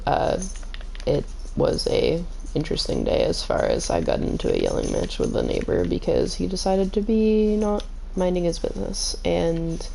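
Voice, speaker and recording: A woman streaming a game, chatting casually with viewers, her voice a little hoarse and scratchy, kept calm and low. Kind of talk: casual gaming stream chat.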